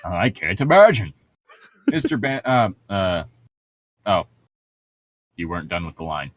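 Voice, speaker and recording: Male co-host, lowish pitch, highly animated delivery, wry read-aloud commentary with frequent laughter, dry close sound. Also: measured pace, no echo. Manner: sarcastic, joking asides, laughs often.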